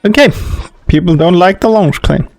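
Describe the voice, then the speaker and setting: Low-voiced woman commenting on gameplay. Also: fairly quick, darkish tone, no echo. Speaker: gamer playing and commenting.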